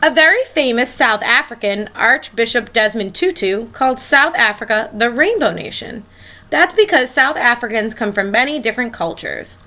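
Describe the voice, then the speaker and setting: A woman's voice: fairly high pitch, animated, normal speed, sharp tone, a little hiss or hum. A woman schoolteacher reading a children's picture book aloud, expressively and as if speaking directly to young listeners.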